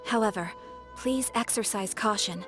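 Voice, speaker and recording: A woman playing a composed, courteous guild receptionist in a video game, speaking formally and in measured phrases. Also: medium pitch, a bit bright, faint music behind, close to an everyday voice.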